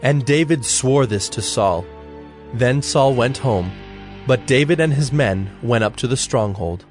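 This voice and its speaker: A man reading scripted Bible story narration in a measured, solemn manner. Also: mid-range voice, soft background music.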